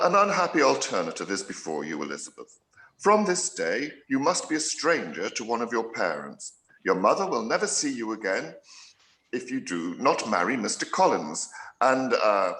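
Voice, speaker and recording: An actor playing a long-suffering gentleman father in a period radio play, hesitant and drily understated. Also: on the low side, sing-song, normal speed, roomy sound. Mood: dry, wry. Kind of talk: period drama live-read radio play.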